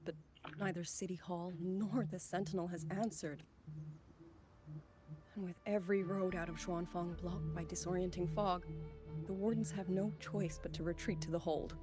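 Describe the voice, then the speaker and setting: Young female soldier, played by an actress, video game. Lowish voice, grave, measured, dutiful. Music underneath. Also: close to an everyday voice. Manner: formal, grave, measured delivery. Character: earnest young woman soldier, last survivor of her squad. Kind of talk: dramatic video game voice acting.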